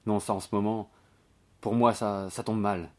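Man reading a gruff, grudging young man, low. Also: steady tone, normal speed, darkish tone, natural voice. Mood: annoyed.

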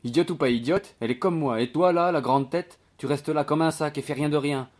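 A man's voice: a little high, brisk, a little mellow, pitched above the performer's own voice, natural voice. A man voicing a gossipy, earthy, blunt servant woman from the country, with slangy grammar, in a novel reading. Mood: mocking.

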